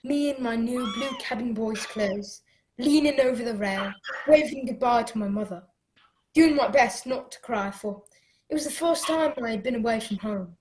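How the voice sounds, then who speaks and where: A woman's voice: on the high side, moderate pace, darkish tone, close to an everyday voice, poor audio. A woman playing an earnest young cabin boy who narrates his adventure in a radio play. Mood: earnest, wondering. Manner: earnest, storytelling.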